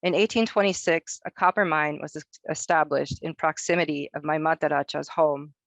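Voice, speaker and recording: A woman from Northern Norway, a researcher giving a prepared academic presentation, reading her text in a measured way. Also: low voice, steady tone, vocal fry, dry recording.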